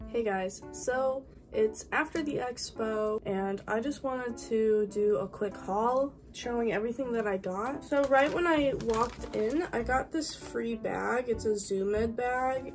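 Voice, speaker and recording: A woman's voice: fairly high pitch, a little slow, few pauses. A woman, talking casually to camera as a vlogger. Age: 20s.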